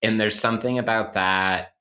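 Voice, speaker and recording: A man's voice: fairly low pitch, fairly even intonation, normal speed, pushed and bright. A man hosting a podcast, chatting casually about ideas in an informal, sweary way peppered with "like" and "right?". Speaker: podcast host. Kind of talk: casual intellectual podcast chat.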